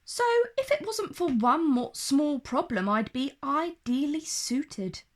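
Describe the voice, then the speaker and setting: A young storybook fairy girl, acted out by a woman reader, a little lazy and timid. Her voice is high and swoops expressively, at an unhurried pace. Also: heavily acted. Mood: confiding. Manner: expressive storybook character speech.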